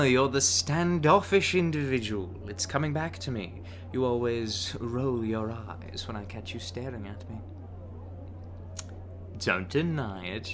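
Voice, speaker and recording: A man's voice: mid-range voice, background noise, natural voice. A man playing a charming, teasing, smitten young bard in an intimate ASMR romance roleplay, coaxing the listener. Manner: teasing, coaxing, with soft chuckles and hesitant asides.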